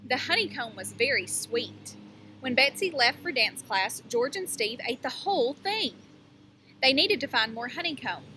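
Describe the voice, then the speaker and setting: A woman teacher reading a picture book aloud to young children, pausing to explain things and ask them questions. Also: high voice, animated, bright, forceful tone, a little hiss or hum.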